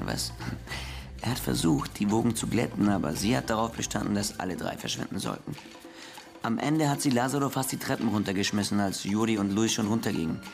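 A man's voice: on the low side, creaky. A man playing a police investigator giving a report in a German radio crime drama.

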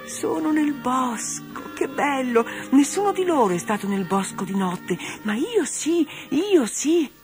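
A woman's voice: high voice, big pitch swings, measured pace, few pauses, a little mellow, soft background music, voice pushed up. A woman voicing a dreamy little female rag doll who sighs and talks wistfully in a children's story. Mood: delighted. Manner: wistful, breathy, sighing. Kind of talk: storybook reading.

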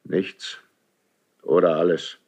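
An actor playing a troubled ageing king, proud yet weary and guilt-ridden, with a solemn, halting delivery in a classical German radio drama. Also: low-pitched, steady tone, a little mellow. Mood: weary, grave. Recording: dull 1970s radio drama recording.

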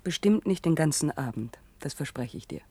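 A woman's voice: low-pitched, lively intonation, brisk, mellow, a little hiss or hum. An actress playing a sensible young woman who admonishes her partner in a German science-fiction radio drama. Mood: pragmatic, calm. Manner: admonishing, pragmatic. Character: sensible, cautioning young woman, dissident's girlfriend.

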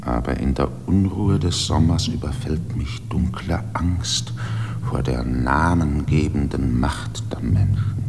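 An ancient, brooding slate mountain, deep and gravelly. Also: male voice, heavily acted. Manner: slow, solemn, half-whispered. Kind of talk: poetic radio play monologue. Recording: long reverb under clear music.

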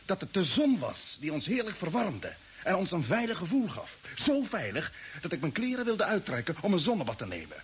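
Resolute space commander, hissy old recording. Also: male voice, sits high, wildly swooping pitch, fairly quick. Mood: grave, explaining. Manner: decisive, earnest, explanatory. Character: resolute male space commander on a Mars expedition. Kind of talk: mid-20th-century Dutch radio play acting.

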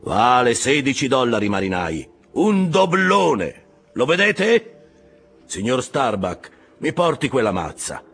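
A man voicing an obsessed, commanding old whaling captain in a dramatized audiobook, declaiming drawn-out orders to his crew. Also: low voice, lively intonation, breathy edge, faint music behind, full character voice. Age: older.

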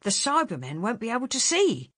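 A woman's voice: a little high, huge pitch swings, brisk, fairly bright tone, dry recording, studio quality, voice pushed up, heavily acted. A woman voicing a blunt, plucky young cockney sailor with a cheeky, slangy way of talking. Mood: exasperated.

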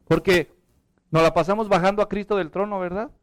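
High, resonant Mexican preacher. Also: male voice, fairly even intonation, a little fast. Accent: Mexican Spanish. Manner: impassioned, drops to hushed prayer then rises to urgent appeals. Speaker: pastor. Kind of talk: sermon.

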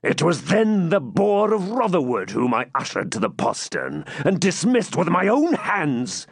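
A savage medieval baron bellows his demands, drawn out and forceful, as a male audiobook narrator puts him on. The voice climbs and plunges with fury. It sits close and dry, with no room around it. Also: on the high side, very animated, vocal fry, breathy edge, dry recording, voice pushed up, exaggerated voice. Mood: enraged. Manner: bellowing, threatening, slow and heavy. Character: furious cruel Norman baron, man, middle-aged. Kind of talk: audiobook character reading.

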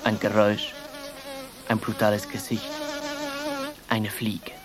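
A man's voice: fairly low pitch, completely flat delivery, takes it slowly, heavy background noise. A man telling a story for radio in terse, suspenseful fragments, often dropping close to a whisper.

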